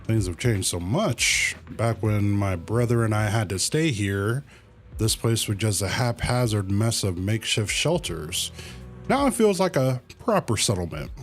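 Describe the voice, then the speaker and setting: A man with a fairly low voice talks through a game on a livestream. He is laid-back and colloquial, switching between reading dialogue aloud and muttering his own commentary. Music plays softly behind him. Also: lively intonation, unhurried. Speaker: game streamer.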